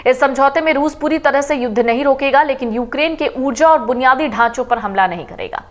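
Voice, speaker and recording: A woman reading a scripted news report in a steady, formal manner. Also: high voice, fairly quick. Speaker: news reader.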